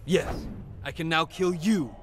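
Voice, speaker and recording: An actor playing a proud, combative Shaolin warrior monk in a video game, with formal, boastful challenges. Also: male voice, fairly high pitch, measured pace, background noise, natural voice. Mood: defiant, boastful. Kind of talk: video game fight intro dialogue.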